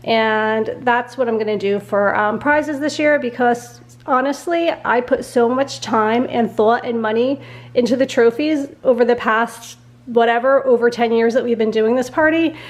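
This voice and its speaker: A middle-aged woman vlogging casually at home with a nasal, congested voice and a sore throat, chatty and quick to laugh. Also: fairly high pitch. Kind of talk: casual chat to camera.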